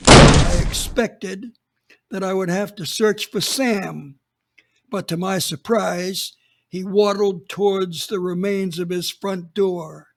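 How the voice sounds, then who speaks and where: A man narrating a hard-boiled detective story in the first person, gritty and deliberate. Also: high-pitched, steady tone.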